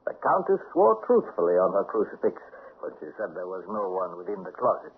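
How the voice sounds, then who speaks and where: A man's voice: deep voice, big pitch swings, fairly quick, husky, narrow, tinny audio, exaggerated voice. An actor playing a devoted, gallant Spanish nobleman soothing his wife in an old-time radio drama. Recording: band-limited vintage radio with faint noise.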